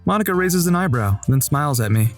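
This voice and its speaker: A man voicing an awkward teenage schoolboy who narrates his own thoughts in an even, wry way, for a fan dub of a visual novel. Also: a little high, fast, natural voice. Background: faint music.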